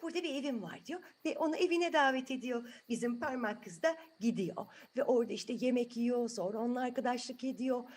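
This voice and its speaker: A woman's voice: on the high side, a little fast, few pauses, darkish tone, slight background noise. A woman telling a story to children in an improvised, chatty way, asking the listeners questions as she goes.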